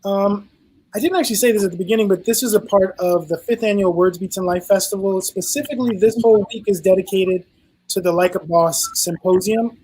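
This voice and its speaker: A man moderating a dance panel over a video call, speaking in a measured way with small restarts. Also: sits high, a little mellow, slightly rough, rough recording. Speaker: panel host.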